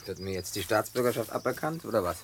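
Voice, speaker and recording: Casting: wary, plain-spoken country man, lowish pitch, colloquial radio play delivery, faint outdoor noise. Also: expressive, fast. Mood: curious, blunt. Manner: blunt, colloquial, teasing. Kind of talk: naturalistic German radio play acting.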